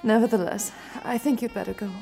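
Mellow-voiced sultry noir femme fatale. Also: female voice, on the high side, fairly even intonation, fairly quick, creaky. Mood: hurt, cool. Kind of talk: noir radio-drama acting. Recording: studio production with music underneath. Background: music.

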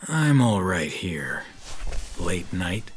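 An actor playing a world-weary, dry private detective in a 1930s noir audio drama, terse and tough with a sardonic edge. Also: male voice, low voice, very animated, drawn-out words, noisy background, heavily acted. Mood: reserved, polite.

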